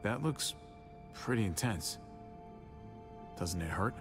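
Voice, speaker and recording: A low-voiced, easygoing sardonic man. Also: animated, slow pace. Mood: guarded, low-key. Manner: casual, wryly diplomatic. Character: easygoing, glib, sardonic younger brother, male survivor. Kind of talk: naturalistic dramatic game acting. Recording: long reverb, music under the voice.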